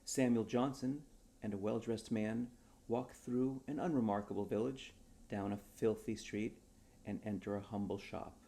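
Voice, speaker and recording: A man who is an author reading his own short story aloud in a dramatic storybook style, with a mellow voice. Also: on the low side, steady tone, moderate pace, vocal fry.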